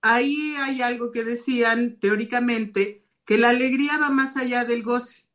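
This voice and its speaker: A woman explaining an academic study in a steady manner, with frequent fillers. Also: on the high side, unhurried.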